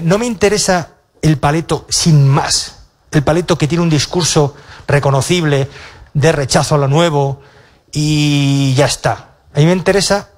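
Male comic, 40s, panel guest, hesitant and wry, handheld mic on stage. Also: a little high. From Castilla-La Mancha, Spain. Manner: halting, many restarts and fillers, wry self-mocking. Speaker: comedian on a panel. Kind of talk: panel discussion. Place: stage of a cultural centre auditorium.

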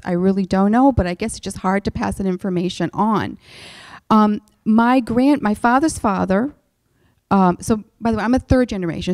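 A woman with a Massachusetts accent speaks at a lecture panel table. Her voice is mellow and unhurried in tone, broken by frequent ums and restarts. The sound is dry and close. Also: medium pitch, no room sound. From Worcester, Massachusetts. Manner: halting, many ums and restarts. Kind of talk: personal account to a lecture audience.

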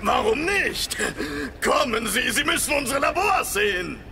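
An actor playing an effusive, formally polite, proud alien host with a creaky voice in a science fiction radio drama. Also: male voice, very high-pitched, very animated, unhurried, full character voice. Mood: eager. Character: courteous, proud, long-lived alien host showing visitors around. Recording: noisy ambience behind the voice.

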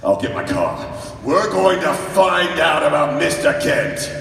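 A man's voice: on the high side, sing-song, a little slow, creaky, a bit bright, soft background music, heavily acted. A man playing a blustering, impatient newspaper boss in a barking old-time radio style. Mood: urgent. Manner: barking, exasperated. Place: on stage in a large convention hall. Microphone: far audience camera picking up PA. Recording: echoey convention hall from far off.

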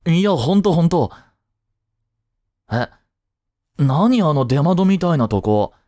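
A young man acts out a teenage boy in a voice drama, the easygoing childhood friend who teases bluntly but is protective. He talks slowly, with pauses and very lively swings in pitch. The recording is dry and close. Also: no room sound. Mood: laid-back, casual. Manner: casual, blunt, teasing banter with pauses. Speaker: voice actor. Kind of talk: first-person voice drama, casual chat to the listener.

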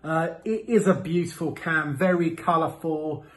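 A man's voice: fairly high pitch, measured pace, fairly bright tone. Playful man reviewing to camera. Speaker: host of a beer review series. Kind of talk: casual product review to camera. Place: small indoor room with window blinds.

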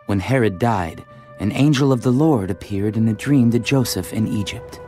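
A man's voice: fairly low pitch, moderate pace, dark tone. A man reading scripted narration for a dramatized audio Bible. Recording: faint music behind the voice. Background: music.

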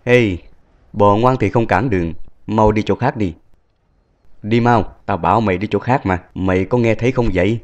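A man playing a grumbling, hot-tempered young army soldier in a dubbed cartoon, brash and quick to complain. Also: fairly low pitch, highs cut off, close to an everyday voice. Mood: angry, threatening. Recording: music behind the voice.

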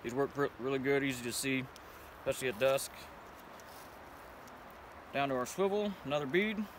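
A man's voice: mid-range voice, normal speed. A young man explaining step by step how he ties his fishing rig on a riverbank, in a laid-back, plainspoken and slangy way. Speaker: fishing vlogger. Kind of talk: casual how-to demonstration. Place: riverbank outdoors. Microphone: camera a few feet away. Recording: outdoor camera audio from a distance, steady background noise.

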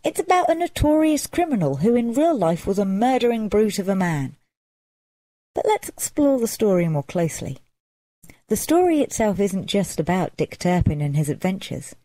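A woman storyteller narrating a folk tale dramatically, with expressive, deliberate emphasis. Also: medium pitch, dry recording.

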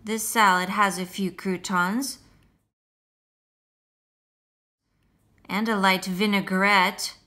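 Boastful but sweet little French snail for a storybook, voiced by a woman: bright, slow, dramatic delivery over faint music. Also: medium pitch, flat delivery. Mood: indignant, fierce. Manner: theatrical, deliberate, addressing the child listener. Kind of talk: picture book read-aloud.

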